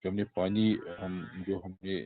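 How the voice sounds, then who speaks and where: A male government official with a Kashmiri accent briefs an interviewer in a fairly low voice. He explains point by point and drops in English terms. He is heard over a tinny, narrow phone line. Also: flat delivery, a little slow. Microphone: phone call.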